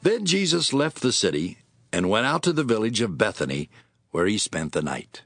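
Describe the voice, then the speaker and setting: A man delivers scripted Bible narration as a storyteller. His voice is on the low side with very expressive rise and fall, set over soft music. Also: moderate pace, dry recording.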